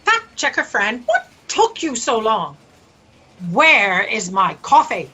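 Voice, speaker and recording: A woman's voice: a little high, huge pitch swings, takes it slowly, pushed and bright, full character voice. A woman playing a demanding, exasperated boss who scolds her staff in a sci-fi satire audio drama. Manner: bossy, scolding.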